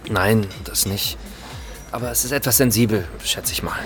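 A man's voice: fairly low pitch, normal speed, fairly bright tone, close to an everyday voice. Actor as an earnest young male journalist. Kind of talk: naturalistic web series acting. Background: music.

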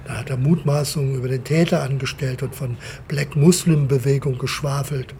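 Mellow, creaky forensic technician played by a man. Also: medium pitch, steady tone, normal speed, a little hiss or hum, natural voice. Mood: indignant, dry. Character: very heavy male forensic technician, pedantic and unhurried. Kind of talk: naturalistic German radio drama.

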